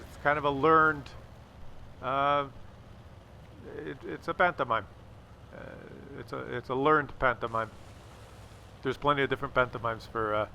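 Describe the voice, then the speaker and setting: Bright-voiced man commentating over game noise. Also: medium pitch. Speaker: gameplay commentator. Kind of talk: casual video game commentary.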